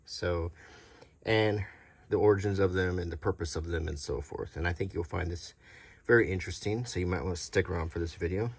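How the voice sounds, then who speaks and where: A middle-aged man giving a casual show-and-tell as a hobby collector, talking softly in an unhurried, halting way. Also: low-pitched, vocal fry, slightly rough, dry recording. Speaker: hobby collector presenting a video.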